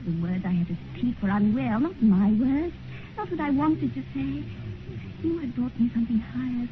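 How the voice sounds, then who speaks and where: Woman playing a rapturous young actress, high-voiced. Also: close to an everyday voice. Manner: impassioned, theatrical. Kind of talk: 1950s radio drama acting. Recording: tinny 1950s radio recording.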